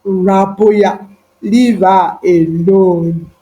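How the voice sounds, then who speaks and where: A woman voicing a distraught, tearful young boy in a folktale, pleading in drawn-out repetition. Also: medium pitch, fairly even intonation, mellow, pitched below the performer's own voice, low-quality recording. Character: distraught, tearful seven-year-old boy, muttering obsessively.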